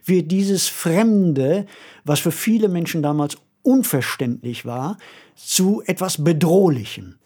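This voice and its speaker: An older man with a husky voice, co-hosting a podcast with reflective explanations. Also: a little high, a little mellow. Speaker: podcast co-host.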